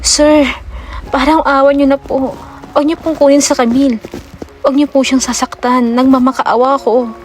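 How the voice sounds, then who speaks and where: A woman's voice: high voice, level tone, normal speed, voice pushed up, lightly acted. Frightened, pleading mother, acted by a woman narrator. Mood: pleading, desperate. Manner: urgent, pleading. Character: frightened, protective middle-aged mother. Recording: music behind the voice.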